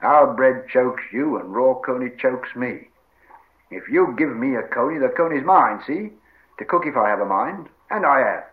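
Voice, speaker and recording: A man plays a practical hobbit servant from a storybook, stubborn and gruff. He scolds in homely rustic phrases with very animated pitch. The recording is a little dull. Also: normal speed, close to an everyday voice. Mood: stubborn, scolding.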